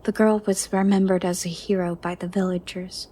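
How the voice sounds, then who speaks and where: A woman playing a gentle, ancient female monster under the bed in a soft, breathy, partly whispered ASMR roleplay, formal and caring. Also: fairly low pitch, flat delivery, a little hiss or hum, lightly acted. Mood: wistful storytelling. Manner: slow, formal, caring, slightly stern.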